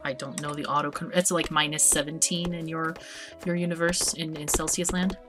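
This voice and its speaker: Woman streaming a game, low-ish voice, easygoing chatter, music playing under it. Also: brisk. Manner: relaxed, chatty, often restarts words. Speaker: streamer hosting a multiplayer game event. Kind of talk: casual chat while playing.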